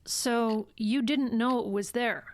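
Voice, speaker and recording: An actress playing a skeptical psychologist in an audio drama, with a measured, clinical, questioning manner. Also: female voice, on the high side, a little hiss or hum. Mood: probing, cool. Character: cool, probing female psychologist, measured and skeptical. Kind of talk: radio drama acting.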